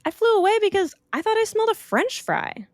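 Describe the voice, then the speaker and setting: A woman voicing a flighty, excitable little bird who loves snacks. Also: extremely high pitch, fairly quick, no room sound, studio quality, voice pushed up. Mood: excited.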